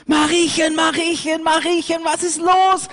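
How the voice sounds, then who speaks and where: A man voicing a small, frightened boy lamb in a very high, squeaky voice. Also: little pitch movement, a little slow, a bit bright, big-room sound. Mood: panicked.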